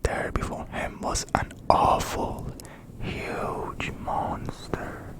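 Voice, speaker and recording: A storyteller narrating a fairy tale in a soft, unhurried ASMR whisper.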